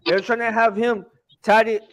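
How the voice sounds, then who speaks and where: A man with a very high voice badgering others over a stream call, repeating the same question and swearing. A faint hiss of noise sits under him. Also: flat delivery, unhurried, a bit bright. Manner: repetitive, badgering, profane. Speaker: caller on a live stream. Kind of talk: heated stream debate.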